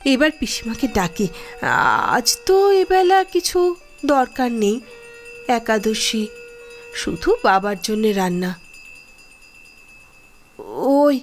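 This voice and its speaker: An actress playing a gentle, self-effacing daughter-in-law in a Bengali audio drama, speaking with deferential, soft reassurance. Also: female voice, on the high side, expressive, takes it slowly, long pauses, faint music behind. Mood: wistful musing.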